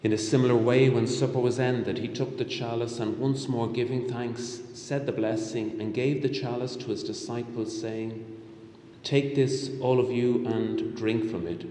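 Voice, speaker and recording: A man in his fifties, a bishop reciting the prayers of Mass in a measured, solemn, formal way. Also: mid-range voice, fairly even intonation, dark tone. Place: large hospital chapel, indoors. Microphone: microphone on altar stand. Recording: echoey chapel with long reverb.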